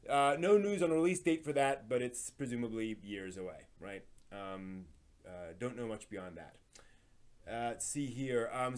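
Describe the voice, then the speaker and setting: A man hosting a live stream, reading entertainment news in an informal, somewhat halting way with casual asides. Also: medium pitch, a little mellow. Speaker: live stream host presenting anime news.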